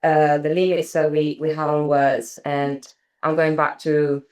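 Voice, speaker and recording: A woman's voice: deep voice, little pitch movement, unhurried, a little mellow. A woman in a roundtable discussion, putting a question to another participant in a tentative, hesitant way. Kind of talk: conversational discussion.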